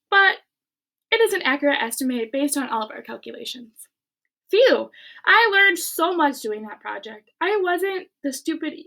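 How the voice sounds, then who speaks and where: A woman reading a children's book aloud in an expressive way, with casual chat to her listeners. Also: high voice.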